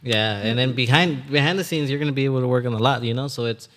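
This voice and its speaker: A man's voice: medium pitch, fast talker, a bit bright. A man of about twenty chatting casually as a podcast guest in slangy, conversational speech. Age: 20s. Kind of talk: casual chat.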